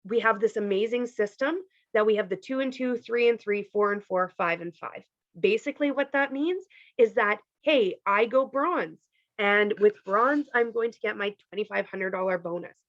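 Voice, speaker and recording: Lady from canada explaining a pay plan on a call, dry close sound, kinda hoarse, says like and okay a lot. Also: medium pitch, normal speed, a bit bright, dry recording, muffled recording. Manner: chatty, peppered with "like" and "okay". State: losing her voice. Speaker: team leader presenting a compensation plan. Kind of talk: casual presentation on a video call. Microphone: video call.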